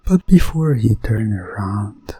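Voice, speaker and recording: A man narrating a hypnotic ASMR science fiction roleplay in a deliberate, lulling way, repeating phrases and often dropping toward a whisper. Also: fairly low pitch, big pitch swings, dark tone, breathy edge, dry recording. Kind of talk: slow hypnotic ASMR second-person narration of a sci-fi roleplay.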